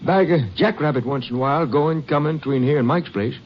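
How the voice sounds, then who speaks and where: An actor playing a folksy, defensive older Texas rancher in a 1950s radio western, with rambling rural talk. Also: male voice, fairly high pitch, fairly quick, natural voice. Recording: muffled old radio recording, some noise.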